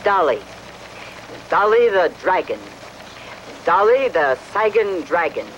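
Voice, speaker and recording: A man's voice: high-pitched, expressive, slow pace, a bit bright, a little hiss or hum, exaggerated voice, low-quality recording. An actor playing an earnest, dashing male radio reporter in a children's puppet show, formal and stumbling. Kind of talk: parody on-the-spot radio reporting.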